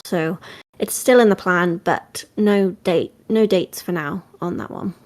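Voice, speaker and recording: A woman's voice: lowish voice, a little slow, a little mellow. A woman with a British accent, chatting casually on a livestream about game updates in a friendly, explanatory way.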